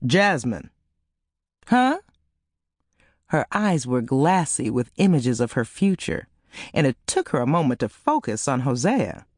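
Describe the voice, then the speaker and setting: A woman narrating an audiobook novel in an expressive, measured storytelling manner. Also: very deep voice, dry recording, studio quality. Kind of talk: dramatic scripted fiction narration.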